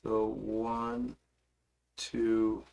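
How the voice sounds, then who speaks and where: A hoarse man hosting a stock market livestream, casually walking through charts and answering chat, calling viewers bro and man. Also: on the low side, completely flat delivery, very slow, a little mellow. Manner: laid-back, calls viewers bro and man. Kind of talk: casual live chart commentary and chat replies.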